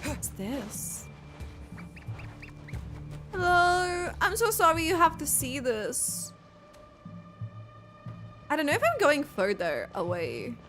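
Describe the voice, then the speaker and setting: A woman game streamer giving excitable, halting reaction commentary as she plays. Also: high-pitched, soft background music. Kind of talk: live gameplay reaction commentary.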